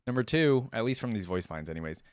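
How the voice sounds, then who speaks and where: A man's voice: on the low side, expressive, fast, clear, resonant tone, no room sound, studio quality. A man giving casual reaction commentary, musing aloud with curiosity as host.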